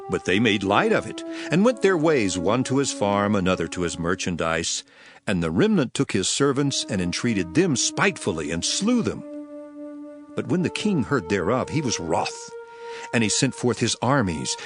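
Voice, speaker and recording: An actor playing a grave, authoritative male teacher in a dramatized scripture reading, speaking solemnly and emphatically. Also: fairly low pitch.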